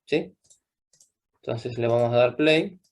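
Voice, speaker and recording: Young male coding instructor, mid-pitched, patient, constantly checks understanding. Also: slow. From Argentina. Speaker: programming teacher. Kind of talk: live coding class.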